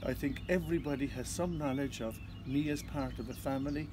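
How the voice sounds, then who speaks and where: A man with an Irish accent, a psychotherapist answering interview questions in a calm, measured way. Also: medium pitch, background noise. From Ireland. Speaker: psychotherapist and constellations facilitator.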